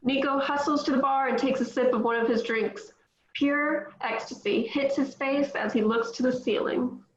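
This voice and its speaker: A woman reading the stage directions aloud at a table read, in a brisk, matter-of-fact way. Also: sits high, fairly even intonation, reverberant, muffled recording, slight background noise.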